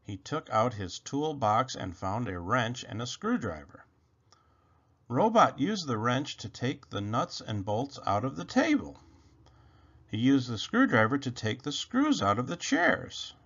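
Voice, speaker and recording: A man reading a children's story aloud with expressive, dramatic narration. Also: mid-range voice, a little slow, dull audio. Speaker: storybook reader.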